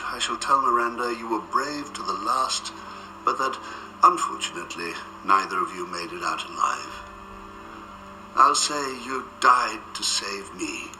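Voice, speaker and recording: An actor playing a polite, genteel senior official who is secretly a calculating villain, in a radio drama. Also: male voice, on the low side, distant mic, natural voice. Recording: echoey, clearly noisy radio drama scene.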